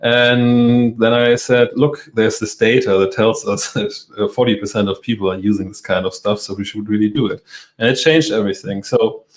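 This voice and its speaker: Low-voiced man, German native speaker. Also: steady tone, moderate pace. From Europe. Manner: hesitant, restarts, self-deprecating laughs. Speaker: guest. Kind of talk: casual interview.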